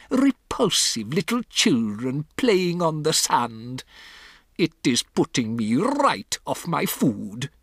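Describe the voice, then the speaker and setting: A storybook narrator voicing a furious, imperious female witch leader, shrieking with drawn-out, emphatic words. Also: medium pitch, husky, no room sound, clean studio sound, exaggerated voice. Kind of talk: theatrical storybook character voice.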